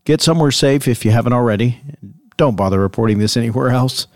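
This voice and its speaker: An actor playing an earnest host of a late-night paranormal call-in radio show, measured and conspiratorial in manner. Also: male voice, mid-range voice, no room sound, studio quality, close to an everyday voice. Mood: hushed, conspiratorial. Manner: measured, conspiratorial, theatrical sign-off.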